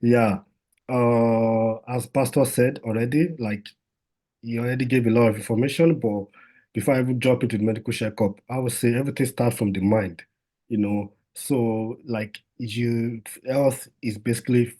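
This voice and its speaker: Husky-voiced man giving a casual health talk. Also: mid-range voice, fairly even intonation, moderate pace, warm tone. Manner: conversational, many fillers and restarts.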